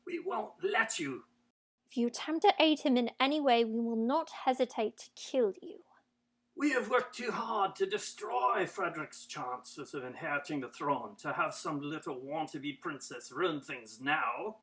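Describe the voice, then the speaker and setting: A woman's voice: on the high side, moderate pace, lightly acted. A woman acts out a middle-aged villain queen in a fairytale audio drama. She is formal, coldly imperious and full of menace, a schemer used to being obeyed.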